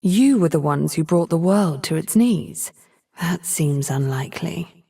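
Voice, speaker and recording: An actress playing a cold, cunning sorceress in a video game, taunting and imperious in a measured, breathy voice. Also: female voice, low-pitched, lively intonation, dark tone, exaggerated voice. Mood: calculating. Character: cold, cunning female sorceress and cult mistress. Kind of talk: video game character voice lines.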